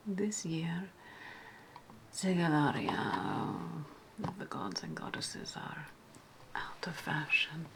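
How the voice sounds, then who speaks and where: A sly, menacing witch of ancient Rome, played by a woman, whispers to her guest in an ASMR roleplay. Her voice is on the low side and creaky, lingering over repeated phrases and soft hums. There is clear noise behind the whisper. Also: big pitch swings, slow pace, pauses often, full character voice. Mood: disdainful, conspiratorial.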